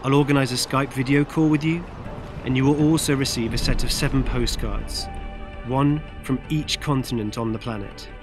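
A man's voice: mid-range voice, fairly even intonation, moderate pace, over music. A man in his forties making an upbeat, earnest crowdfunding appeal for his long cycling trip. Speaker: long-distance cyclist raising funds. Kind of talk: crowdfunding appeal, mix of voice-over and to-camera talk. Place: outdoors in a city park.

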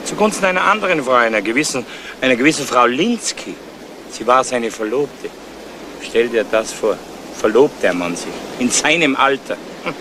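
An actor playing a fretful, suspicious insurance agent in a 1970s German crime radio play, talking in an insinuating, emphatic way. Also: male voice, mid-range voice, animated, normal speed, noisy background, close to an everyday voice. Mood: gossipy, suspicious.

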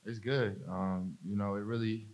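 Low, flat-voiced young male athlete. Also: measured pace. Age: young adult. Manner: low-key, relaxed, filler-heavy. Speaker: college basketball player. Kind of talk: postgame press conference answers. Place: press conference room, seated at a table. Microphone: desk mic on a stand.

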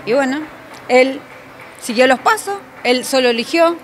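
A woman in her thirties with an Argentine accent tells her story proudly and at length. Her voice sits fairly high, and a faint background noise is heard behind her. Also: lively intonation, measured pace. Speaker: hairdresser, proud mother. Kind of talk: interview answers. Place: indoor school hall.

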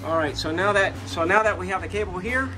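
A man's voice: sits high, fast talker, music in the background, poor audio. A man working as a technician, explaining a hands-on repair step by step in an instructional way. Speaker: marine electrical technician presenting repairs. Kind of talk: hands-on tutorial commentary.

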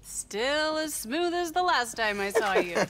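A woman's voice: sits high, animated, unhurried, fairly bright tone. A woman playing a teasing, perceptive teenage girl in a video game, with a wry, casual manner. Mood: gentle teasing. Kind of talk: naturalistic video game acting.

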